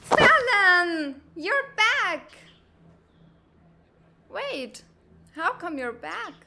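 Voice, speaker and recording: A woman voicing a brash, hot-tempered teenage girl in a comic dub, blustery, bossy and quick to get defensive. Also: extremely high pitch, sing-song, vocal fry, heavy background noise, exaggerated voice. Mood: flustered. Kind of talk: animated comic-dub voice acting.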